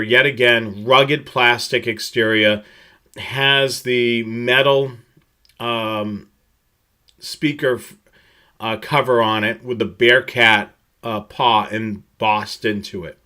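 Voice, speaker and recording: A man gives an explanatory product presentation in a bright, forceful voice of medium pitch. He walks through details in a steady, instructional manner, halting now and then as he goes. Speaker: presenter of a live product tutorial. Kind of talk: semi-scripted slide presentation, explanatory product rundown.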